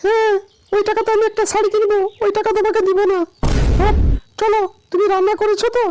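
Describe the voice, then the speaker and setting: A woman voicing a sharp-tongued, bossy village wife in a comic cartoon, snappy and nagging. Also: very high voice, little pitch movement, quick pace, dry recording. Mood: insistent. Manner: snappy, nagging, repeats commands. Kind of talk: comic Bengali cartoon dialogue. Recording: music clearly behind the voice.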